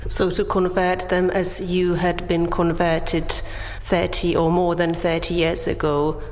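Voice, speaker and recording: Slow, deliberate woman interviewer. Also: on the low side, flat delivery, big-room sound. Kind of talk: prepared interview questions.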